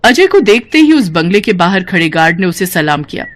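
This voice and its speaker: A woman's voice: lowish voice, sing-song, a little fast. A woman narrating a scripted Hindi cartoon moral story in a dramatic storytelling style.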